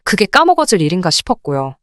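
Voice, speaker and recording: A woman reading a scripted first-person story in an expressive, indignant radio drama style. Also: lowish voice, fast talker, dry recording, clean studio sound. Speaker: narrator of dramatized listener stories.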